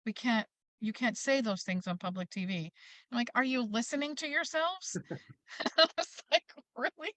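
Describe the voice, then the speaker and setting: Higher-voiced American woman, emphatic advocate. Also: moderate pace, no room sound. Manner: emphatic, animated storytelling with acted-out quotes. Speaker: interview guest, parents' advocacy group leader. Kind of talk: passionate advocacy talk in an interview.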